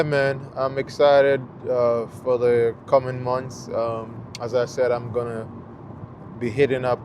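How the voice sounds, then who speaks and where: A middle-aged man with a Jamaican accent, chatting casually to viewers while driving, rambling through a story with lots of fillers. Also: medium pitch. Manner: rambling, relaxed storytelling with many fillers and restarts. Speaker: vlogger. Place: inside a car on a highway. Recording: car and road noise in the background.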